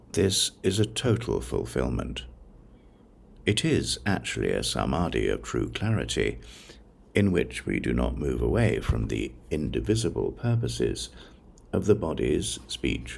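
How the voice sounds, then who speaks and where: An extremely low-voiced man narrates a sacred text, his voice thick with gravelly fry. He reads slowly and solemnly, setting phrases apart with deliberate pauses in a measured recitation. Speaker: audiobook narrator. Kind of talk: scripted narration of a religious text.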